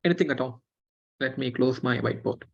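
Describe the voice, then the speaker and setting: Woman teacher, casual and halting, lo-fi call audio. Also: very deep voice, vocal fry, husky. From Tamil Nadu, India. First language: Telugu. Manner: relaxed, many fillers and restarts. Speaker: script teacher. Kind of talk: online class explanation. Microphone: video call. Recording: dull lo-fi video call audio.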